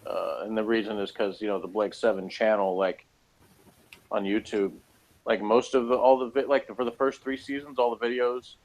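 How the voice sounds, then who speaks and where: A man's voice: fairly low pitch, fairly even intonation, moderate pace, a little mellow, low-quality recording. A man giving a casual review in conversation, blunt and dryly sarcastic, rambling as he talks. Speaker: guest reviewer of a TV episode.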